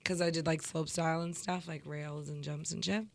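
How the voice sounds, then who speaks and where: A woman in her thirties, a rapper speaking casually in an interview, laid-back and quick to laugh. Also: low voice, level tone, gravelly, a little mellow, no echo. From Ontario, Canada. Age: 30s. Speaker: rapper guest.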